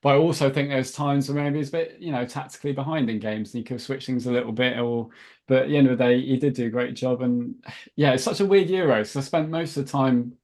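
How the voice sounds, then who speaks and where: A young man who talks quickly as he hosts a casual football podcast. He is eager and chatty, and he often agrees with his guest. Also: medium pitch, a little mellow. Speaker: podcast host.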